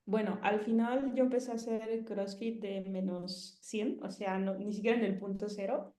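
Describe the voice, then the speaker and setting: A woman in her thirties with a Mexican Spanish accent talks through a casual testimonial interview. She rambles, stacks up fillers and restarts her sentences. Her voice sits in a middle pitch and carries a roomy echo. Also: fairly even intonation, moderate pace, warm tone. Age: 30s.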